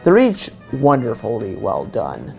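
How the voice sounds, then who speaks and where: A man narrates a scripted review with earnest enthusiasm. His mellow voice has plenty of fry and swings widely in pitch. Faint music plays behind him. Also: huge pitch swings, a little slow. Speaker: video reviewer.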